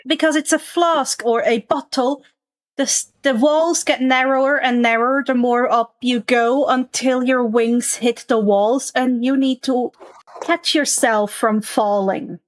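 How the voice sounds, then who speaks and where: Female game master, high voice, unhurried narration of a fantasy tabletop game. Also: fairly even intonation.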